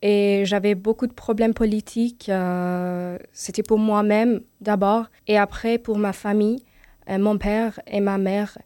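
A woman from Iran whose first language is Persian answers an interviewer in short, simple sentences. She is soft-spoken with a mellow voice, and the studio sound is dry. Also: medium pitch, level tone, measured pace, no echo. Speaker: guest.

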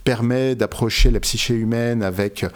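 A man's voice: medium pitch, steady tone, moderate pace. A man speaking as an interviewed author, giving erudite, unscripted explanations with frequent hesitations and self-corrections. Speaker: interviewed author and literary expert.

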